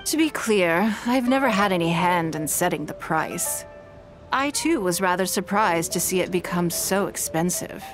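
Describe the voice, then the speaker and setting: Woman voicing a refined businesswoman in a game, shrewd, composed, measured, elegant. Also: lowish voice, expressive, over music, natural voice. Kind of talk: game dialogue.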